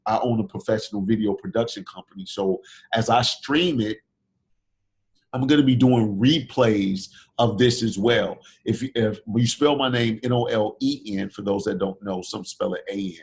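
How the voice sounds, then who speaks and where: A man hosting a group video call for speakers, pitching his event persuasively, repeating and restarting phrases while he recovers from illness and is losing his voice. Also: moderate pace, creaky, a little mellow.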